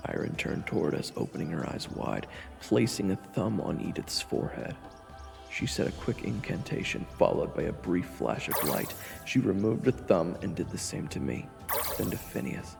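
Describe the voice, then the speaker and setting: A man narrates a scripted fantasy tale in a low voice with a gravelly fry. The voice carries a long reverb, and faint music plays behind it. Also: very animated, moderate pace.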